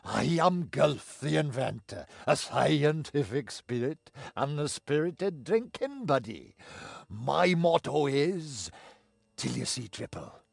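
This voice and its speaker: A tipsy, eccentric fantasy-game inventor with a creaky voice that swoops up and down. He rambles theatrically, pleased with himself, at an unhurried pace. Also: mid-range voice, very animated, no echo, clean studio sound, heavily acted. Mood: tipsy good cheer. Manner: theatrical, rambling, self-amused. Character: tipsy, jolly, eccentric inventor and drinking buddy.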